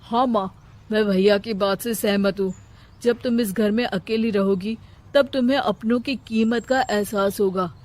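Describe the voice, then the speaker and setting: Woman as an earnest, slow-spoken younger son. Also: mid-range voice, steady tone, a little mellow, natural voice. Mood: resolute. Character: earnest younger adult son, fed up with his mother.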